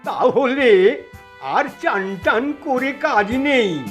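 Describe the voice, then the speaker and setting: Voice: sits high, lively intonation, extremely slow, fairly bright tone, faint music behind, exaggerated voice. An actor playing a kindly, fussing elderly village grandfather in a cartoon. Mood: kindly concern. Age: older. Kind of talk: cartoon voice acting.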